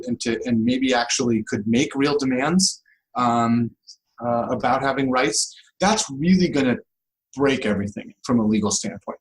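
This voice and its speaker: A man's voice: medium pitch, low-quality recording. Male professor giving an interview, conversational. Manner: informal, rhetorical tag questions like "right?". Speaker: interview guest, law professor.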